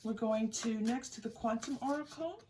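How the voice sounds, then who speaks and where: A woman's voice: fairly high pitch, normal speed, darkish tone. A woman giving a casual, improvised tarot reading, speaking directly to the listener in an encouraging, conversational way. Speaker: tarot reader hosting a reading. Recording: distant mic with faint noise.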